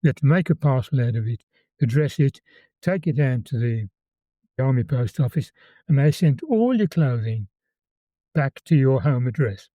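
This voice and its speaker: Man, 80s, Birmingham accent, very mellow voice, slightly high, dry close sound, reminiscing, repeats words. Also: animated, dry recording, dull audio. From England. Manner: measured storytelling, repeats words while recalling. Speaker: interview guest, former conscript. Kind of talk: reminiscing in conversation.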